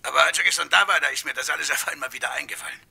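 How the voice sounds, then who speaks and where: A man's voice: fairly high pitch, very fast, vocal fry, pushed and bright, slightly rough, full character voice. An actor playing a chatty barkeeper turned eager witness in a German radio crime drama, hesitant and rambling as he informs.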